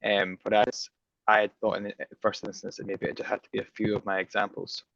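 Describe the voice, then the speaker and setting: A man's voice: lowish voice, monotone, a little fast, creaky, fairly bright tone, no room sound. A man joining a video call to share a casual comment. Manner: casual, self-deprecating. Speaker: participant. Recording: muffled video call audio.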